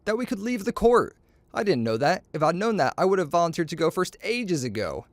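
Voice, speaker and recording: Male storyteller, lively and swooping, playful with boastful dialogue, recorded dry and close. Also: high-pitched, a little fast, no room sound. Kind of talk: animated storybook reading with character dialogue.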